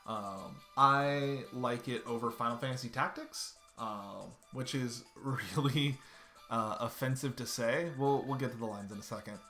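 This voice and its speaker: A man's voice: mid-range voice, measured pace, bright, forceful tone, soft background music. A man in his thirties streaming a game from home, chatting loosely and swearing casually as he plays. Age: 30s. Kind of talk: casual let's-play commentary. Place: home room.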